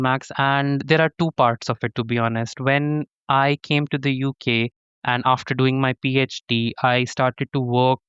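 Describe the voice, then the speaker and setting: A man's voice: medium pitch, fairly bright tone, smooth, dry recording, highs cut off. A man from Pakistan, a professor and interview guest, giving earnest conversational answers with frequent restarts. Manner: earnest, many restarts and "to be honest".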